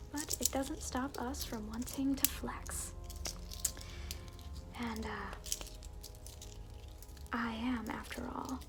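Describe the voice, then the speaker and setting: A woman playing an evil alter ego who greets newcomers to hell, in a taunting, condescending ASMR roleplay. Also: on the high side. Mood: smug boasting. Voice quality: whispery.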